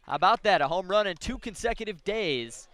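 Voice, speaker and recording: A man's voice: high-pitched, lively intonation, a little fast, fairly bright tone. A man from Chicago giving informal, conversational color commentary on a radio baseball broadcast. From Chicago, United States. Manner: informal, colloquial remarks.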